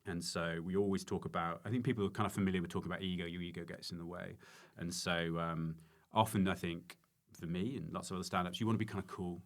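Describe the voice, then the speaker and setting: Very deep-voiced man chatting. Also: fairly even intonation, fast, hardly any pauses. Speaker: stand-up comedian, podcast guest. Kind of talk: casual podcast chat.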